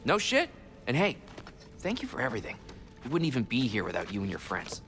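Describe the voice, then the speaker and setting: A man's voice: medium pitch, huge pitch swings, fairly quick, creaky, a bit bright, full character voice. An actor playing a friendly, eager and chatty young village man in a video game. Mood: upbeat, warm. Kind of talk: video game dialogue acting.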